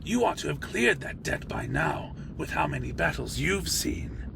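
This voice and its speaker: A man's voice: on the high side, very animated, moderate pace, creaky, sharp tone, a little hiss or hum, full character voice. A man playing a loyal, blunt vampire bodyguard in a fan audio drama, with a dry, chiding, formal way of talking. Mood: concerned, blunt.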